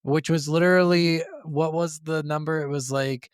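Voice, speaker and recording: A man's voice: a little high, fairly even intonation, moderate pace, clear, resonant tone, no room sound, clean studio sound. A man co-hosting a podcast, chatting casually about video games in a blunt, opinionated way with frequent swearing. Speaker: podcast co-host.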